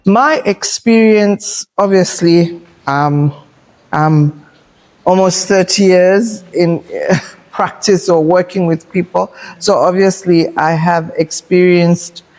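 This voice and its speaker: Slow, assertive woman with a loud voice. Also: lowish voice, rough recording. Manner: assertive, unhurried, thoughtful with filler pauses. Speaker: podcast host. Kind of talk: reflective conversational talk.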